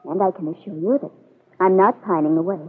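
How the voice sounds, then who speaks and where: Tinny old broadcast, slightly husky, proud young American girl, cool, clipped, aloof, radio soap opera. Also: lowish voice, brisk. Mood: cool, hurt. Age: young adult. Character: proud, hurt eighteen-year-old country girl, coolly aloof. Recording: muffled vintage radio broadcast recording.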